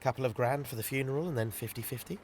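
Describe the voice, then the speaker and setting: A man's voice: mid-range voice, fast, darkish tone, slight background noise, close to an everyday voice. A man with a British accent playing a pragmatic, cynical council worker in an audio drama, talking in a casual, blunt, colloquial way. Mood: tentative, conspiratorial. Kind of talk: naturalistic audio drama acting.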